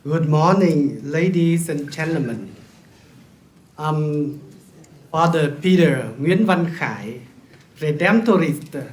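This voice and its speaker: Slow-speaking Vietnamese priest, high voice. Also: male voice, expressive. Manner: slow and deliberate, formal. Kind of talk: prepared conference speech. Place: large hotel conference hall. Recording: echoey hall.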